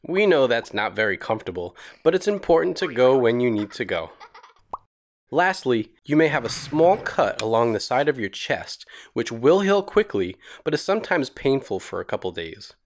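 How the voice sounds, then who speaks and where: Animated male narrator reassuring young listeners. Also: mid-range voice, normal speed, a little hiss or hum. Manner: reassuring, simple explanatory phrasing for children. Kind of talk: scripted narration for a children's educational cartoon.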